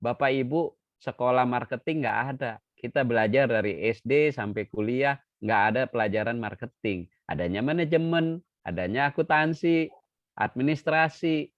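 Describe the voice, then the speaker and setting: A man giving an online seminar talk as a trainer, lively and joking, sometimes acting out quoted dialogue. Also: mid-range voice, normal speed, no room sound. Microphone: video call. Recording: dry, dull lo-fi video call audio.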